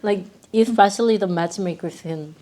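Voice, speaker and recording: Young woman, hesitant, thinks aloud, mellow dark tone, mid pitch. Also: moderate pace. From Korea. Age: young adult. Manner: thoughtful and hesitant, trails off, soft laughs. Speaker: student reacting to clips. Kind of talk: casual reaction chat.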